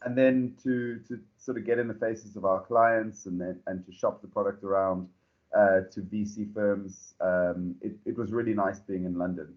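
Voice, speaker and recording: A South African man, a startup founder, answering podcast questions in a relaxed, rambling way full of fillers and restarts. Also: fairly low pitch, moderate pace, highs cut off. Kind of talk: podcast interview.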